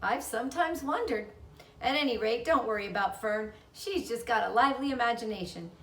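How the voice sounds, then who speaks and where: A woman's voice: a little high, a little fast, a bit bright, reverberant, recorded from a distance, lightly acted. A woman voicing an easygoing, amused storybook father with a light, reassuring manner.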